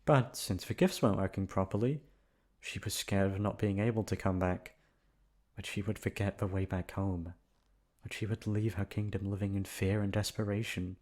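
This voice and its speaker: Low-voiced man narrating a bedtime story. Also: steady tone, moderate pace, darkish tone. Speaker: voice actor reading a bedtime story. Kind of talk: soft storybook narration, ASMR sleep aid.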